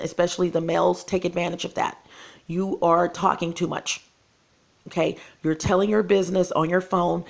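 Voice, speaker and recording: A woman's voice: lowish voice, gravelly. A woman, losing her voice, talking to her viewers in an earnest, repetitive casual vlog monologue. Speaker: channel host.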